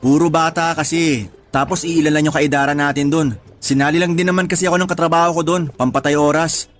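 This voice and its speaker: A man's voice: fairly high pitch, steady tone, fast, close to an everyday voice. A man with a Filipino accent acting a practical, teasing friend of twenty-seven in colloquial radio drama dialogue. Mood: casual, informative. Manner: colloquial, bantering. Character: 27-year-old male friend, teasing, practical, slightly older.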